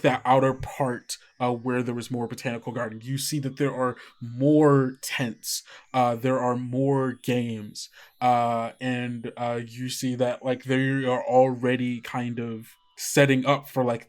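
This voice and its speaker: A man running a tabletop game as game master, describing scenes in a casual, rambling way with many fillers. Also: mid-range voice.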